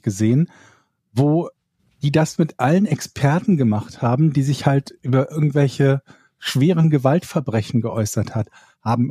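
A man's voice: medium pitch, mellow, husky, no echo, studio quality. A man co-hosting a casual podcast chat, teasing and joking with his friends. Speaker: podcast co-host.

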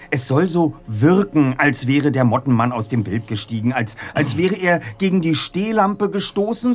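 An actor playing a clever, pompous teenage boy detective in an audio drama, speaking precisely and formally. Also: on the high side. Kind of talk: German audio drama acting.

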